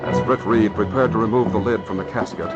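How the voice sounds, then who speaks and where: A man's voice: on the low side, fairly even intonation, brisk, music in the background. A man narrating an old-time radio drama in a dramatic, scripted style. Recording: muffled, noisy old radio recording.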